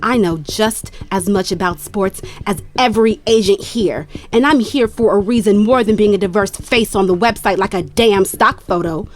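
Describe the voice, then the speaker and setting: A young adult actress playing an ambitious female sports agent who lectures in a crisp, cutting way. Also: medium pitch, normal speed, few pauses, close to an everyday voice. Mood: lecturing. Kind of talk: audio drama dialogue.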